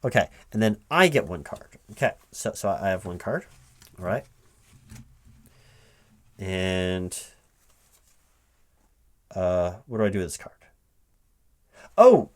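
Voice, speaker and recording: Hesitant, low-voiced man in a roleplay. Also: sing-song, takes it slowly, long pauses. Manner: hesitant, stammering, repeating words. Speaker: roleplay performer as a recruiting manager. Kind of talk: one-sided interview roleplay, soft-spoken ASMR at normal voice.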